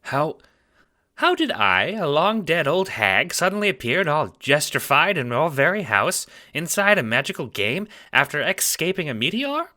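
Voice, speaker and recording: Man acting as elderly granny spirit, shrill and lively, kindly, playful, folksy, audio drama character. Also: moderate pace, heavily acted. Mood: teasing. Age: older. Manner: sweet folksy endearments, theatrical delivery.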